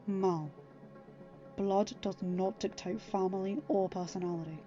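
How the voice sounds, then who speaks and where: A woman voicing an earnest, protective young king, speaking gently but emphatically to reassure someone in a story. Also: medium pitch, steady tone, measured pace, dull audio, faint music behind, lightly acted. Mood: earnest, persuasive.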